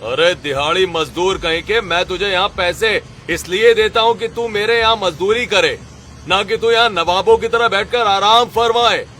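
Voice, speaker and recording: A man voicing a cruel factory owner, scolding and threatening loudly, in a cartoon story. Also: sits high, normal speed, slight background noise. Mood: angry, contemptuous. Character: cruel bullying male factory owner, contemptuous. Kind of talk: Hindi cartoon story dialogue.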